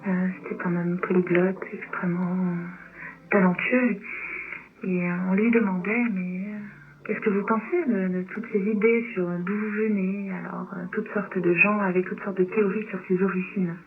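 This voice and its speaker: A woman's voice: medium pitch, fairly even intonation. Tinny noisy shortwave radio, woman being interviewed, thoughtful, stops and starts a lot. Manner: reflective, halting, pausing between phrases. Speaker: interviewed writer.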